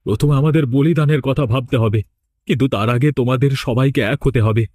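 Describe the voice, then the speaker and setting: A man's voice: mid-range voice, a little fast, darkish tone, no echo, studio quality, natural voice. A man playing a stern village leader in a horror cartoon, solemn and commanding as he gives orders. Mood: grave, commanding.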